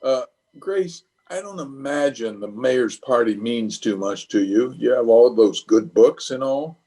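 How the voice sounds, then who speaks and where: A man's voice: medium pitch, animated, unhurried, warm tone. A man playing a flustered, blustering middle-aged bachelor in an old-time radio comedy, hemming and sheepish. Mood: sheepish, apologetic.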